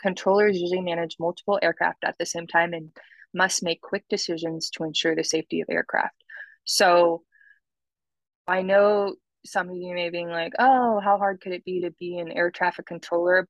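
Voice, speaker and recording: A woman with a lowish voice talks as a podcast co-host, explaining and commenting in an informal way. The sound is dry, with very little room on it. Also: no echo, muffled recording. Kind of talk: podcast commentary mixed with reading notes.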